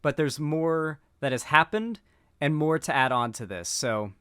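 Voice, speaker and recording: A man's voice: fairly high pitch, measured pace, bright, forceful tone, smooth, no room sound, studio quality. A young man in casual streamed political commentary, rambling and sarcastic, full of fillers and restarts.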